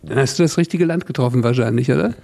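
A man's voice: medium pitch, fast talker, creaky, darkish tone. A man hosting a podcast, putting casual questions to his guest. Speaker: podcast host, interviewer.

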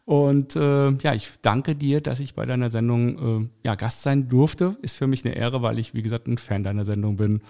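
A man's voice: medium pitch, normal speed, gravelly, few pauses, mellow. A man from Hesse, Germany, speaking as a podcast guest in casual interview talk, earnest and somewhat hesitant. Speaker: podcast guest, audio drama producer and director. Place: indoor studio, in person with host.

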